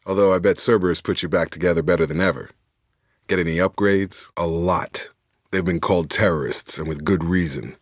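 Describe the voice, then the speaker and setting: A dutiful soldier in a sci-fi video game. His voice sits low and is recorded very dry. He speaks in clipped, matter-of-fact military phrases and comes across as steady and loyal. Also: fairly quick, dry recording. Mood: steady, serious. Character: soldierly, steady, dutiful adult male space operative. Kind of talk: scripted video game dialogue.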